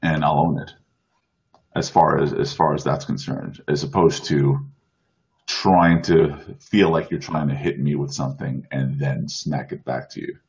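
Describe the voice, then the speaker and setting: A man's voice: extremely low pitch, fairly even intonation, unhurried, darkish tone, breathy edge. A man in casual chat on a streamed show, earnest, often restarting his sentences over a video call. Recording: dull lo-fi stream audio.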